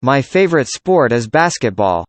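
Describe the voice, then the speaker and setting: A man reading a student's part in a scripted classroom conversation, with an even, measured delivery. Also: mid-range voice, dry recording. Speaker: student in a dialogue.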